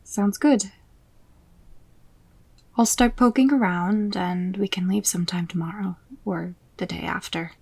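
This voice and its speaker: An actress playing a determined, loyal fortune-teller in an audio drama, speaking in a hushed, matter-of-fact, naturalistic way. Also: female voice, medium pitch, fairly even intonation, a little slow, pauses often. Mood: practical. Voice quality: husky, breathy. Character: determined, loyal female fortune-teller joining a dangerous mission. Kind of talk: audio roleplay acting, naturalistic.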